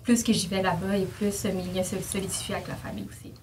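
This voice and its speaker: A woman's voice: medium pitch, level tone, fast, a little mellow. A woman giving a casual personal account in a group discussion. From diaspora Montrealer. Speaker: dialogue participant. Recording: distant mic, faint background noise.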